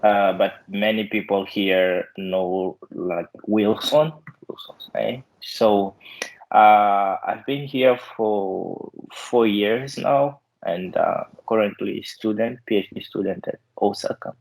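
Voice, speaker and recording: A man's voice: on the low side, creaky, a little mellow, poor audio. A young man from Mozambique, a doctoral student, introducing himself in a hesitant way as a podcast guest. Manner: slow and hesitant. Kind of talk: casual self-introduction.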